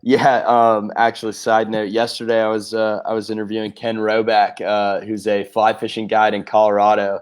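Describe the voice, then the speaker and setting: A man's voice: lowish voice, completely flat delivery, normal speed, hardly any pauses, clean tone. A man with an American accent hosting a casual interview in a laid-back, affirming way. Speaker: interview host.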